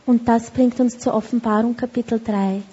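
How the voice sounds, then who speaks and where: Woman interpreter, even and steady, higher but mellow, dull recording. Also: moderate pace, husky. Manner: steady, with small self-corrections. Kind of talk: consecutive sermon interpretation.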